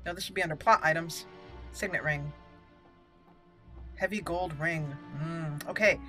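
A woman's voice: sits low, a little slow, a bit bright, faint music behind. A woman doing casual, chatty let's play commentary with some roleplay, playful in manner. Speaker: gaming video host.

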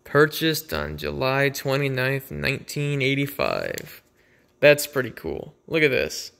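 Bright-voiced man, casual and rambling. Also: medium pitch, big pitch swings, a little slow, gravelly. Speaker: vlogger. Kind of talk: casual unscripted unboxing commentary.

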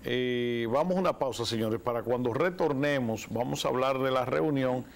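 A man in his forties with a Dominican accent, co-hosting a studio talk show and giving lively commentary. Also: mid-range voice, moderate pace, gravelly. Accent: Dominican Spanish. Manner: animated, gestures with both hands. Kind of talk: political commentary. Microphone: lapel mic.

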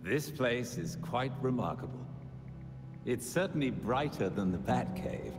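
Casting: male actor as gentle elderly butler, game cutscene, measured and formal, unhurried delivery, loud score behind. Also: medium pitch, lively intonation, over music, lightly acted. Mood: warm, solemn. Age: older. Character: gentle, dignified elderly butler and loyal guardian. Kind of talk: video game cutscene acting. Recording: long reverb, loud musical score.

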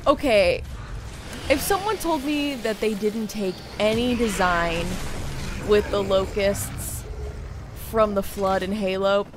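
A woman's voice: medium pitch, takes it slowly, music in the background. A young woman giving casual reaction commentary indoors, with video game sound behind her. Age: young adult. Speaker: gaming reaction host. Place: indoor room at gaming chair. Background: video game audio.

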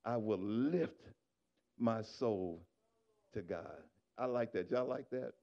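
A middle-aged man preaching a sermon in a church, on a handheld microphone, swinging from shouted exhortation to quiet asides to the congregation. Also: lowish voice, slow, dull audio. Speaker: pastor. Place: church sanctuary.

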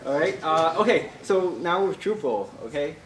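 A young man giving a casual tech talk at a meetup, with many fillers and pauses. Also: on the high side, animated, very noisy. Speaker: meetup presenter. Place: office meeting room with audience. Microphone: far camera. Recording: roomy sound from far off.

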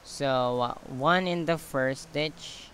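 A man giving a quiet step-by-step craft tutorial, counting stitches as he works off camera. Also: medium pitch, a little hiss or hum. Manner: slow, quiet counting while working with hands. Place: indoors at a table. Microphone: camera on hands, speaker off screen.

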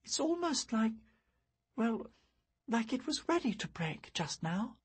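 A man voicing a gentle, thoughtful young woman who speaks softly. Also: very high-pitched, sing-song, takes it slowly, breathy edge, pitched above the performer's own voice, heavily acted. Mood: sorrowful. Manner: soft and hushed.

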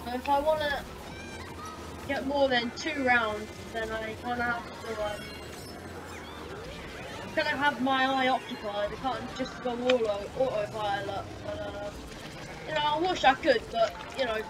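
Woman gaming host, high voice, a bit scratchy, chatty, game music loud underneath. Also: measured pace, pauses often. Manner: chatty, easily excited, talks while concentrating on racing. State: scratchy voice, sore throat. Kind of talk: casual gameplay commentary. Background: game music and engine sounds.

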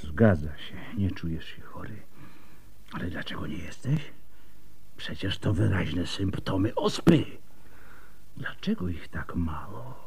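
An actor playing a tipsy, wry and animated physician in a radio drama, with a husky voice and a theatrical delivery. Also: male voice, deep voice, a little slow, long pauses, exaggerated voice. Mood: probing.

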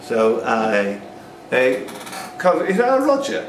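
A man's voice: medium pitch, huge pitch swings, slow pace. Guy quizmaster in a pub reading questions n joking about, far from the mic, loads of echo. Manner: chatty, jokey asides between questions. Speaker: quiz host reading questions. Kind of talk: live pub quiz hosting, questions and banter. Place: indoors in a pub. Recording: echoey, noisy pub, distant microphone.

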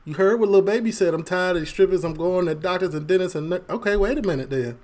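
A man's voice: sits high, fast, darkish tone. A young man with a Chicago accent giving casual, slangy reaction commentary on a video, laughing often. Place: indoor room at a desk.

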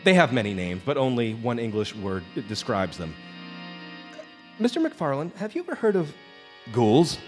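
An actor playing a nervous, evasive labor company representative in a live radio drama, stammering and officious. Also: male voice, mid-range voice, very animated, moderate pace, long pauses, lightly acted. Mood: earnest, uneasy. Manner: stammering, hesitant, apologetic and officious. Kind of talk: live old-time radio drama acting. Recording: live stage performance with background music. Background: music.

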